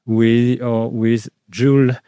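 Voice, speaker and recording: A man hosting a radio interview, asking questions haltingly with many fillers and restarts. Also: mid-range voice, level tone, mellow, smooth, no room sound, clean studio sound. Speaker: radio show host.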